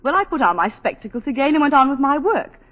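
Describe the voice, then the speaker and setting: Casting: distraught colonial planter's wife, high-pitched, theatrical and halting, old radio play with long reverb. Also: female voice, sing-song. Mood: composed, hesitant. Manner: halting, emotive, theatrical storytelling. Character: distraught planter's wife recounting a killing, self-possessed and indignant. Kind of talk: 1940s radio drama acting. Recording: dull 1940s radio broadcast, long reverb.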